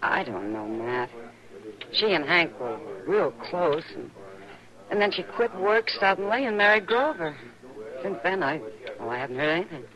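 A woman's voice: on the low side, very animated, moderate pace, lo-fi sound, crowd noise. An actress playing a friendly, worldly saloon woman in an old radio western, with an easygoing, familiar manner. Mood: thoughtful. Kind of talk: 1950s radio western acting.

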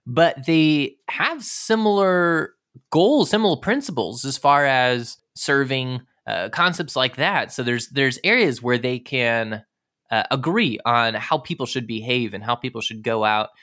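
A man's voice: mid-range voice, animated, measured pace, fairly bright tone. A man hosting a conversational history podcast, talking informally and with enthusiasm. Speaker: podcast host.

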